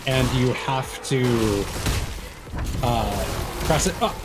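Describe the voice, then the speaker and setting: A man doing casual live commentary as a video game streamer, thinking aloud with hesitant pauses mid-sentence. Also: medium pitch, lively intonation, heavy background noise.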